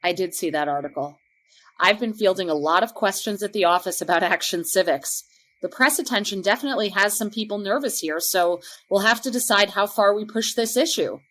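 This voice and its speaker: An actress playing a measured, diplomatic woman district education official in a podcast drama. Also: medium pitch, a bit bright, lightly acted, rough recording.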